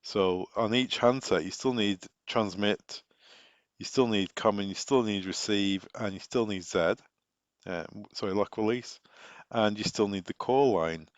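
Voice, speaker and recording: A man's voice: lowish voice, a little slow. A man working as a technical trainer, giving an instructional explanation and repeating key points with emphasis. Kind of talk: instructional explanation of wiring diagrams. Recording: dull, echoey room recording.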